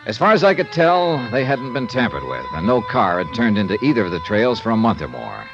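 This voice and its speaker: An actor playing a wry, easygoing insurance investigator who narrates in a dry, laconic first person, in a 1950s radio drama. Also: male voice, mid-range voice, wildly swooping pitch, brisk. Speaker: narrator and lead. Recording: dull old radio broadcast with music.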